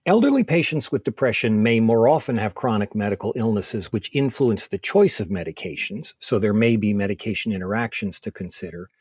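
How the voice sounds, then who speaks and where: A man speaking as a medical expert in an interview, explaining clinical guidance in a measured, methodical way. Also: on the low side, lively intonation, a little mellow, slightly rough, no room sound, studio quality.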